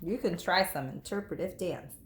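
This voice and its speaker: A woman teacher reading a children's story aloud, expressive and emphatic, speaking to young listeners. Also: on the low side, moderate pace. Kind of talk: animated storybook narration. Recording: echoey room.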